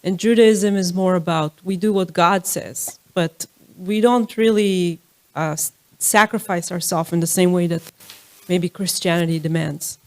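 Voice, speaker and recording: A woman's voice: fairly low pitch, steady tone, unhurried, a little mellow. A woman in the audience, offering a tentative thought during a lecture discussion. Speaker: audience member.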